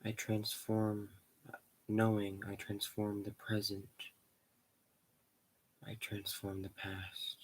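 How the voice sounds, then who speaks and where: Low, even-voiced man guiding meditation. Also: husky, poor audio. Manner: slow, measured, pausing between short phrases. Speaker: guided meditation leader. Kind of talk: guided meditation.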